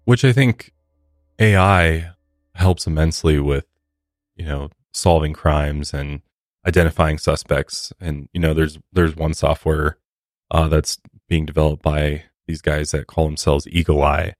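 Very low male podcast voice. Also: steady tone, a little slow, no room sound, studio quality. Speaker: podcast co-host. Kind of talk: casual true-crime discussion.

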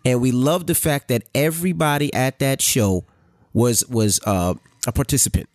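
A man's voice: medium pitch, moderate pace, darkish tone, no echo, studio quality. Chatty man co-hosting a podcast. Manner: loose and repetitive, many "right" and "I mean" fillers. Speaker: podcast co-host. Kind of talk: casual chat.

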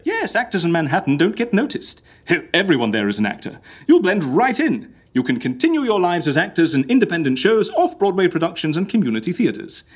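A man's voice: sits high, wildly swooping pitch, a little fast, few pauses, full character voice. A man playing a grandiose schemer in a Shakespearean audio drama, declaiming in florid phrases. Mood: matter-of-fact. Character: grandiose Shakespearean schemer arranging faked deaths, male.

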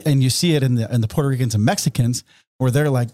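A man's voice: mid-range voice, fast talker, mellow, no room sound. Easygoing man, dry close sound. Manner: easygoing storyteller, blunt and informal. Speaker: podcast co-host. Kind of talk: casual podcast conversation.

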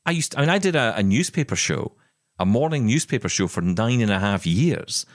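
A man's voice: lowish voice, expressive, brisk, dry recording, studio quality. A middle-aged man co-hosting a podcast, talking in an impassioned, emphatic way with plenty of restarts. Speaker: podcast co-host. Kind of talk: casual chat.

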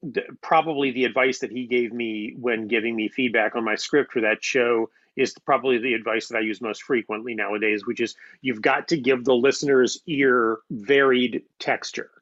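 A man's voice: mid-range voice, fairly even intonation, normal speed, fairly bright tone, dry recording, highs cut off. A man giving conversational interview answers as a writer, explaining articulately and repeating phrases for emphasis.

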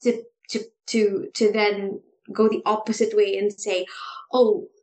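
A woman co-hosting a casual talk about improv, animated and often restarting her sentences with a laugh. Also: medium pitch, measured pace. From Philippines. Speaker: improv podcast co-host. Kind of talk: casual chat.

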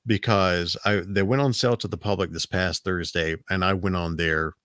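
Deep-voiced man, slightly raspy. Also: steady tone, moderate pace, fairly bright tone, dry recording, studio quality. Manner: rambling, repeats words, self-deprecating. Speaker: podcast host. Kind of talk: casual storytelling chat.

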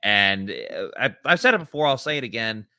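A man's voice: fairly low pitch, big pitch swings, a little fast, bright, forceful tone, no room sound, studio quality. A man co-hosting a late-night sports podcast, opinionated, reading out viewer messages. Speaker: podcast co-host.

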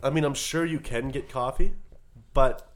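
A man's voice: medium pitch, fairly even intonation, moderate pace, slight background noise. A man co-hosting a podcast with casual, conversational banter. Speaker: podcast co-host.

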